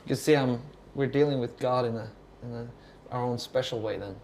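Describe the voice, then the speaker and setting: Gravelly Norwegian man, rambling and sweary. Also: mid-range voice, steady tone, fairly quick, darkish tone, echoey room, slight background noise. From Norway. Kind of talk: casual rambling interview talk.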